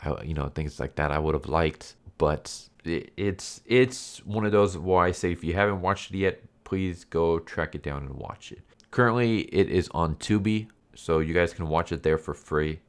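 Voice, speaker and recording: A man's voice: sits low, moderate pace, hardly any pauses. A man with a stuffed-up, nasal voice from a head cold, giving a casual, rambling, unscripted review to his viewers. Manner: rambling, repeats and rephrases himself. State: sick with a stuffed nose and cough. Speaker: YouTube anime movie reviewer and channel host.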